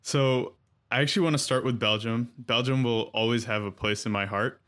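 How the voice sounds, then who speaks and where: A man's voice: mid-range voice, fairly even intonation, moderate pace, a bit bright. A man hosting a podcast interview, asking questions in an easygoing, joking conversational way. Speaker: podcast host. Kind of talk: casual interview chat.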